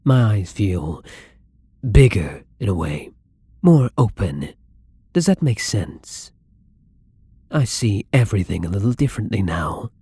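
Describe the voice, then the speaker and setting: A man playing a shy, gentle, newly turned vampire in an intimate ASMR roleplay, speaking softly and haltingly in a breathy voice that often slips into whispers. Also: fairly low pitch, very animated, no echo, clean studio sound, full character voice. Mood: earnest, wondering. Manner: hesitant, halting, polite, soft-spoken.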